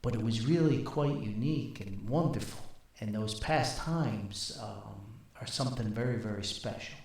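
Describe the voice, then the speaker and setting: A man's voice: on the low side, normal speed, gravelly, darkish tone, distant mic. Man reading as a wistful old father, echoey. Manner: wistful, plainspoken, emphatic. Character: sentimental old father missing his old neighborhood. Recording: very echoey, reverberant voice recording.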